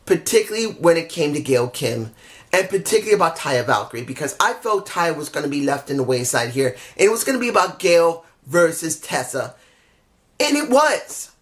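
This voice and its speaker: Hoarse man ranting a wrestling review. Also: on the high side, a bit bright. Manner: candid, rambling, frustrated, frequent restarts. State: losing his voice. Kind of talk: casual opinionated review.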